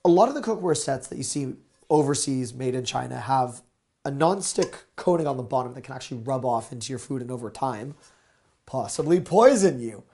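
A man in his thirties hosting a deal show, pitching products to camera in an upbeat, salesy way, a little hoarse. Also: a little fast. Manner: energetic, salesy, gesturing with products. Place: small studio with shelves of gadgets. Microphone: lapel mic.

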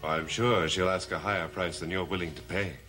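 A man's voice: low-pitched, fairly even intonation, brisk, pushed and bright, faint music behind. An actor playing a proud, mocking man of a cursed family in a gothic soap opera, sardonic and theatrical. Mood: mocking, defiant.

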